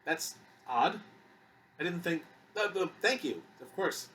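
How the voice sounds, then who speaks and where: A man's voice: on the high side, expressive, unhurried, fairly bright tone, distant mic, slight background noise, pitched above the performer's own voice. A man voicing a flustered, awkward male civilian who stammers and hesitates, in an audiobook story.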